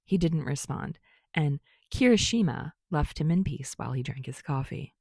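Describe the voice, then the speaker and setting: Creaky, low-voiced woman narrating. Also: expressive, moderate pace, darkish tone, no echo, clean studio sound. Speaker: fan fiction narrator. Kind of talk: scripted story narration.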